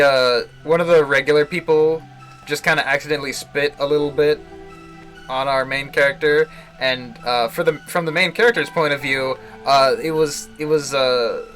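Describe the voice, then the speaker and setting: A man giving casual, halting live commentary on a visual novel, chuckling to himself as he rambles. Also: on the high side, a bit bright, rough recording. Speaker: game streamer, host. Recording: game music behind the voice. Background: video game music.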